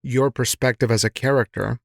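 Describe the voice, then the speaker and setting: A man's voice: medium pitch, fairly even intonation, brisk, gravelly, no room sound, clean studio sound. A man hosting a casual interview, speaking in an encouraging, affirming way. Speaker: podcast host, interviewer.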